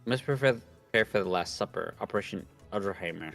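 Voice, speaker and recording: A grandiose, scheming young mad scientist, acted out by a man reading a visual novel. The voice sits on the low side and declaims with pompous theatricality. Faint music plays underneath. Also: animated, fast, creaky, a bit bright, heavily acted.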